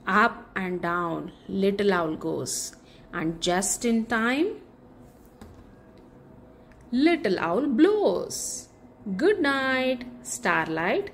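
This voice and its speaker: A woman reads a bedtime picture book to children very slowly, stretching her phrases with care. Her pitch sits in a middle range and swings with lots of melody. A faint noise sits behind her voice. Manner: expressive, deliberate storytelling with drawn-out phrases. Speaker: children's story reader. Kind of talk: read-aloud bedtime picture book narration for kids.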